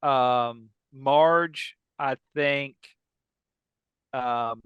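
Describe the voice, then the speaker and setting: A man's voice: medium pitch, extremely slow, clean tone, no room sound, narrow, tinny audio. A man hosting a podcast, chatting in a casual, joking way with the other people on the show. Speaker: podcast host. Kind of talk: casual joking panel chat.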